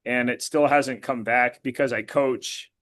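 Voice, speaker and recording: A man with a slightly hoarse, worn voice talks in a loose, rambling way. He circles back and restarts often, and fills pauses with "um" and "like". His pitch sits in the ordinary middle range for a man. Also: fairly even intonation, a bit bright. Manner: rambling, many fillers and restarts. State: voice partly lost, a bit hoarse. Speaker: blood analysis practitioner and girls flag football coach. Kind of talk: casual interview answers.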